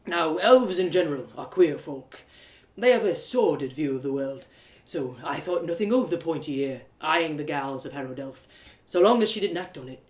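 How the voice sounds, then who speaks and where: A woman's voice: deep voice, expressive, moderate pace, few pauses, darkish tone. A woman voicing a heartbroken, earnest young village man who confides in a hesitant, rustic way, for an audio drama. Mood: recollecting. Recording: roomy echo of home live reading.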